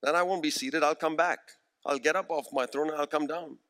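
A man in his forties preaches with an earnest, emphatic delivery, slowing down to repeat his key phrases. His voice sits a little higher than most men's. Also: steady tone, fairly bright tone. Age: 40s. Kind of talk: sermon.

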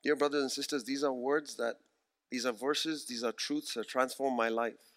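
A man in his forties preaching a sermon, earnest and emphatic, slowing down to repeat key phrases. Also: medium pitch, fairly even intonation, a bit bright. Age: 40s.

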